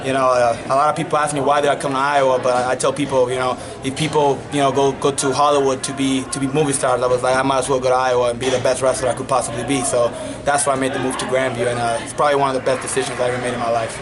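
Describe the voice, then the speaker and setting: A young man from the Dominican Republic, a native Spanish speaker, giving a relaxed post-match interview as a college wrestling coach, full of you-knows. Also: medium pitch, racing, few pauses. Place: wrestling tournament arena. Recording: loud arena crowd behind. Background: crowd noise.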